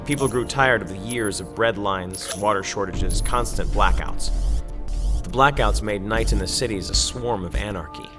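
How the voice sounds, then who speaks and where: A male actor as a sombre war correspondent narrating a game cutscene in a lowish voice. He is grave and measured, with loud music swelling beneath him. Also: lightly acted.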